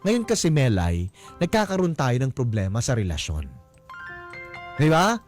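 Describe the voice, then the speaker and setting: A man's voice: mid-range voice, huge pitch swings, a little slow, faint music behind. A Filipino man hosting a radio advice show, counseling conversationally and explaining things with frequent rhetorical questions. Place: radio studio.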